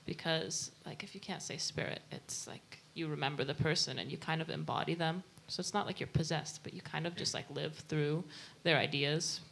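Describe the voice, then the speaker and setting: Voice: mid-range voice, fairly even intonation, moderate pace, creaky, few pauses, a bit bright, a little hiss or hum. An audience member thinking aloud in a rambling way, full of "like" and half-finished thoughts.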